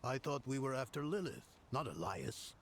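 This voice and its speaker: An actor playing a weary, skeptical middle-aged sage in a dark fantasy game, halting and resigned, with a gravelly voice. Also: male voice, medium pitch, big pitch swings, slight background noise. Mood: doubtful.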